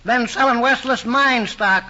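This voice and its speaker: A man's voice: very high-pitched, unhurried, bright, forceful tone, exaggerated voice. A man playing a terse country neighbor farmer with a clipped, folksy manner, in old-time radio drama style. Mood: matter-of-fact. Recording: dull old radio recording.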